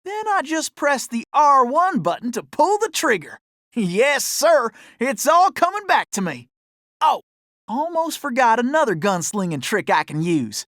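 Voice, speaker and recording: A man's voice: extremely high pitch, big pitch swings, unhurried, a bit bright, no echo, clean studio sound, heavily acted. A man voicing a cocky, folksy cowboy raccoon for a cartoon video game, with a drawling American Southern accent. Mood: folksy, explaining. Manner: folksy, drawling, boastful, whooping cowboy talk.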